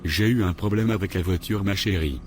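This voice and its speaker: Cartoon dub, male actor: earnest grown man, lowish voice, measured delivery, faint music behind. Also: lightly acted. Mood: evasive. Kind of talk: French-language cartoon dub.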